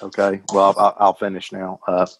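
A man's voice: low voice, monotone, a little fast, dry recording. A man chatting casually about a book, hesitantly and with many stammered repetitions. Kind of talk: casual book club discussion.

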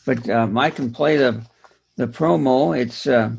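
A man co-hosting a casual group chat, speaking in a halting, hesitant way. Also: mid-range voice, vocal fry, darkish tone, husky.

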